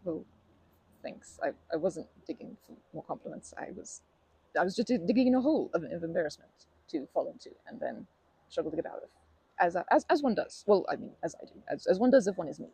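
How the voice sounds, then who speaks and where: Woman acting timid, breathy, flustered young woman. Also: on the low side, lively intonation, fast talker, mellow, no room sound, heavily acted. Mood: embarrassed, apologetic. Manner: stammering, self-deprecating, rambling with nervous giggles. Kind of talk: ASMR comfort roleplay, conversational acting.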